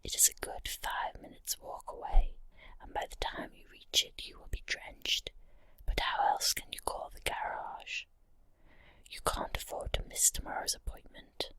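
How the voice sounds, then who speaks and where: A woman whispering a scripted ASMR guided story in a breathy, unvoiced voice, in short deliberate phrases with pauses. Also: sharp tone, no room sound. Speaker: ASMR narrator.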